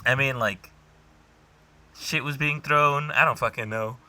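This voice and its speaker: A man's voice: mid-range voice, a little slow, sharp tone. A young adult man co-hosting a podcast in casual, argumentative banter, swearing freely as he talks. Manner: profane, argumentative. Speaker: podcast co-host.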